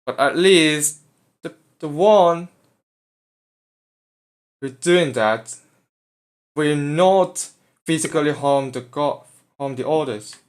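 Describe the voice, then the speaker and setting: A man from Hong Kong with a Hong Kong English accent, hosting a live voice forum in a halting, self-correcting way while he sets up his stream. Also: on the high side. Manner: halting, restarting, fussing with his stream setup.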